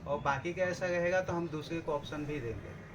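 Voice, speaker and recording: A man's voice: on the high side, brisk, muffled recording. A man, a novice performer, giving plain, short and deferential answers at a press event. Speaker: novice singer-actor. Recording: noisy event room.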